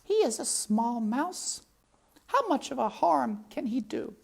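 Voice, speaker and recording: A woman voicing a dramatic mother mouse, shrill and exasperated. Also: big pitch swings, slow, vocal fry, slightly rough, heavily acted. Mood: dismissive. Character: dramatic female mother mouse with French airs.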